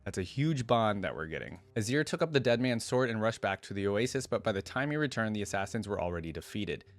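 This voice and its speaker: A man's voice: lowish voice, lively intonation, quick pace. A man hosting a reaction channel, moving between casual commentary and reading text aloud.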